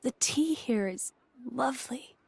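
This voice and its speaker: A sweet young girl of a fantasy game. Her high voice lilts freely, and she speaks politely and dutifully. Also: animated, measured pace, creaky, breathy edge, dry recording, exaggerated voice. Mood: polite, pleased. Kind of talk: video game cutscene acting.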